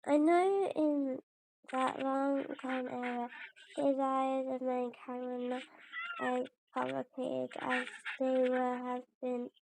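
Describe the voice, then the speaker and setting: A woman reading video game dialogue aloud in a halting way, often stumbling and correcting herself. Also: sits high, level tone, creaky, noisy background. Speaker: streamer playing and voicing a video game.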